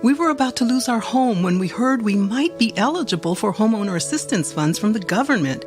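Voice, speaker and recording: A woman's voice: a little high, expressive, a little fast, music in the background. A woman reading a public-service commercial as an earnest first-person testimonial. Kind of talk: read commercial.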